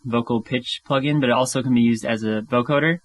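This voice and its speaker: A man presenting a casual music software tutorial as a producer. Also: mid-range voice, steady tone, quick pace. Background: music and vocoder demo.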